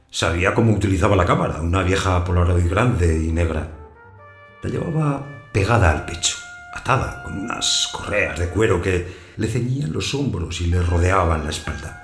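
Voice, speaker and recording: An actor playing a wary householder who narrates his own story in a scripted audio drama, in a measured, observant way. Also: deep voice, sing-song. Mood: brooding. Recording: echoey room sound, music behind.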